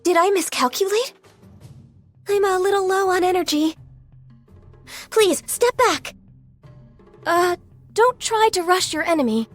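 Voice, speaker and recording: Gentle mythical-beast secretary, high voice, soft-spoken, earnest, formal, video game character, close dry recording, faint music. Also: female voice, expressive, a little slow, long pauses. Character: gentle, shy, diligent half-Qilin secretary, centuries old, youthful female.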